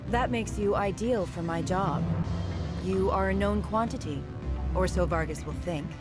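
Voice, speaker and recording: An actress playing a coolly businesslike, scheming alien agent in a video game, speaking in a measured, formal, secretive way. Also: female voice, mid-range voice, lightly acted. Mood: cool, calculating. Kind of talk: video game cutscene acting. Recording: loud music behind the voice.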